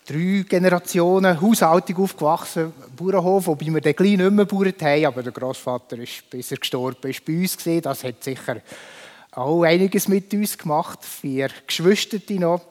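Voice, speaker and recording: A man with a Solothurn Swiss German accent, answering questions about his life at a church service. His voice sits a little high and he talks easily, pausing to think aloud. The sound carries a long church-hall reverb. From Solothurn, Switzerland. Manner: relaxed, thinking aloud with fillers. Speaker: church district leader being interviewed. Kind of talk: personal interview answers.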